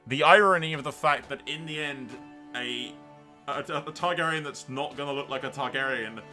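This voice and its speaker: A man's voice: medium pitch, normal speed, over music. A man giving casual, sweary and blunt gameplay commentary as the host of a gaming video. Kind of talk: casual gameplay commentary with role-play asides.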